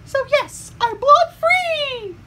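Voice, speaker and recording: Tiny cheerful cartoon mushroom man, piercing falsetto, excitable, noisy home recording. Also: slow pace, full character voice. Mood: cheerful relief. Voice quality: squeaky falsetto. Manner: excitable, chirpy. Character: cheerful squeaky little male mushroom creature.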